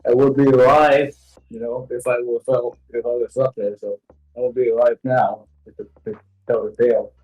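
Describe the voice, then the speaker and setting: A man answering casually as an interview guest, halting and repeating short phrases as he goes. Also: medium pitch, flat delivery, a little mellow, husky, rough recording. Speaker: interview guest, web series creator. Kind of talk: casual chat. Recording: music playing behind. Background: music.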